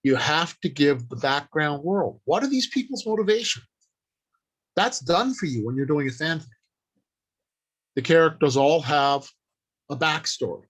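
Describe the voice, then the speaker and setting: A man with a slightly high-pitched voice teaches in a workshop talk. He speaks slowly and deliberately, landing short, emphatic phrases with pauses between them. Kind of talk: instructional talk on writing.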